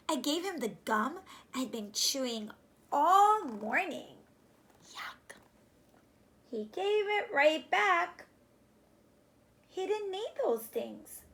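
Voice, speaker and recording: High-pitched, expressive woman reading a storybook. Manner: expressive, addresses listening children with questions. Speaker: teacher reading aloud to pupils. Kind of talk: children's storybook read-aloud. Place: small furnished room indoors, seated on sofa.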